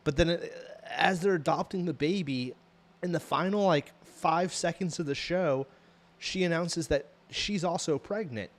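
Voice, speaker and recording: Higher-voiced American man, rambling and slangy. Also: measured pace. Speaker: podcast co-host. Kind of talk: casual conversational TV show review.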